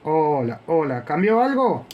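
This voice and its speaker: A man's voice: a little high, big pitch swings, unhurried, dull audio, very noisy. A man with an Argentine accent on a ham radio contact, chatting informally about his gear, often trailing off and restarting while he adjusts it. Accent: Argentine Spanish. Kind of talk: ham radio contact, casual technical chat.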